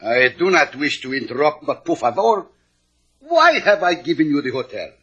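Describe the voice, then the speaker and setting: A florid hotel keeper in an old radio show. His piercing, raspy voice swings up and down through theatrical, flowery phrases. He is excitable and slippery. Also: on the high side, normal speed, muffled recording, full character voice. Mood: evasive, flustered. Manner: flowery, theatrical, speaks of himself by name. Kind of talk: 1950s radio drama acting.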